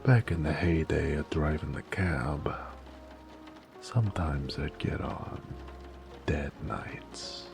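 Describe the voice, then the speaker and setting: A man performs a flirty, cocky mafia driver in a noir romance audio drama, American and full of teasing street slang. The voice sits extremely deep with heavy gravel and fry. Music plays clearly behind the intimate talk. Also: slow pace, full character voice. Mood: confiding, suggestive. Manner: teasing, slangy tough-guy drawl. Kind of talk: romantic noir audio roleplay, intimate one-sided dialogue.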